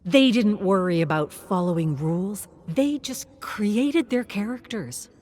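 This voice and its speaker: A woman reading a scripted story in a dramatic, deliberate way, at times dropping to a hushed tone. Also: medium pitch, expressive. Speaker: narrator.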